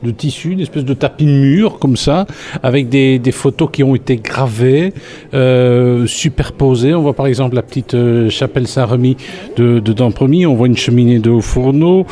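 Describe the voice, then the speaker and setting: A male radio host with a mellow, mid-pitched voice runs a friendly local interview. He talks chattily and stumbles over his words, often restarting mid-phrase. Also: normal speed. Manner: repeats intro lines, stumbling restarts, chatty.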